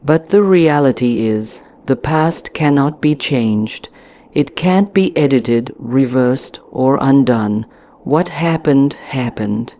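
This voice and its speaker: A woman narrating a scripted guided meditation in a deliberate, soothing manner with frequent pauses. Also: extremely low pitch, expressive, mellow, no echo.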